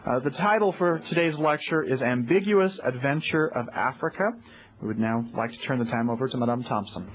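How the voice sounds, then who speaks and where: Male host, native Portuguese speaker, halting formal intro, muffled lo-fi sound. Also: mid-range voice. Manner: stumbling over words, self-correcting. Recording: dull, lo-fi lecture recording.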